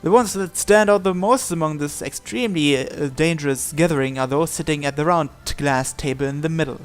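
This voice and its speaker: A man's voice: fairly high pitch, a little fast, clear, resonant tone, faint music behind. A man reading visual novel narration and dialogue aloud.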